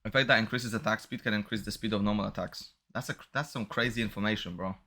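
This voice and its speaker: A man's voice: on the low side, quick pace, pushed and bright. A man giving casual, slangy, reactive gameplay commentary as a streamer. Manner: slangy, reactive, bro-heavy talk. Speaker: gaming streamer reacting to a playthrough. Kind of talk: casual gameplay commentary, reading dialogue aloud.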